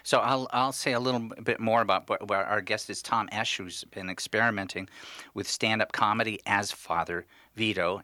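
Male radio co-host, low and bright, halting, dry close sound. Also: no echo. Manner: halting, with many restarts. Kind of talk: radio talk show. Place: radio studio.

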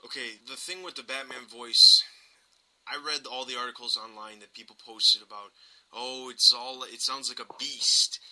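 A man with a sharp, very bright voice at an average pitch. He hosts a podcast and talks film casually, pressing his opinions with emphasis. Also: fairly even intonation, moderate pace, low-quality recording. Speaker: podcast host.

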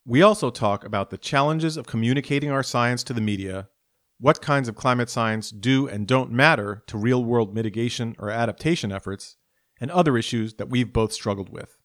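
A man's voice: medium pitch, normal speed, a bit bright, dry recording, studio quality. A man hosting a podcast, reading a careful introduction and then interviewing a guest. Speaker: podcast host.

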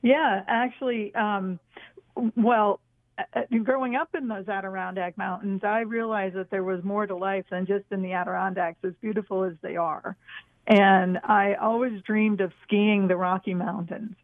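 A woman's voice: medium pitch, steady tone, normal speed. Over a thin, narrow phone connection, a woman in her sixties talks as a radio interview guest. She is from northern New York and tells her stories casually. The sound is dry, with no room echo. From northern New York, USA. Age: 60s. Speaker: guest, author and former park ranger. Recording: tinny, narrow phone line.

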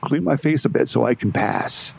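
A man's voice: mid-range voice, moderate pace, vocal fry, breathy edge, rough recording. An actor playing a shaken young man covered in gore, talking bluntly in a panic. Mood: tense. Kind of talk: audio drama acting.

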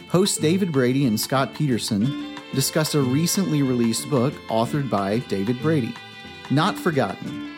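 Male announcer reading a scripted intro, mid-pitched, with clear guitar music behind him. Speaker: podcast announcer. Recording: spoken over guitar music.

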